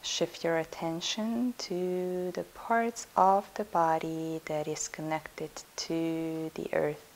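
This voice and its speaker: Woman doing yoga nidra guided meditation, really slow n soothing, bit of hiss in the recording. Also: low-pitched, little pitch movement, poor audio. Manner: soothing, unhurried, instructing with pauses.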